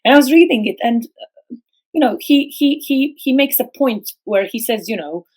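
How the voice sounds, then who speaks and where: A middle-aged woman who grew up speaking Serbo-Croatian, a guest philosopher on a podcast, speaking slowly and hesitantly with many fillers and restarts. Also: a little high, lively intonation, darkish tone. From former Yugoslavia. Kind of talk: academic podcast discussion.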